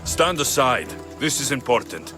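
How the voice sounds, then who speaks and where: An actor playing a blunt, self-assured Greek mercenary hero in a video game, speaking tersely. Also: male voice, mid-range voice, very animated, normal speed, over music, natural voice. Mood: firm. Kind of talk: video game cutscene acting.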